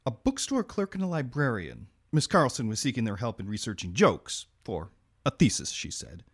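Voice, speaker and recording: An actor playing a dutiful, deferential government agent in an audio drama, reporting in a formal, businesslike way. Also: male voice, medium pitch, wildly swooping pitch, normal speed, breathy edge, heavily acted. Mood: dutiful, concerned.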